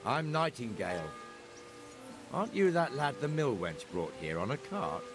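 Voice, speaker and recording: A man's voice: mid-range voice, sing-song, moderate pace, over music, natural voice. An actor playing a blunt, teasing, seasoned town watchman in a medieval video game.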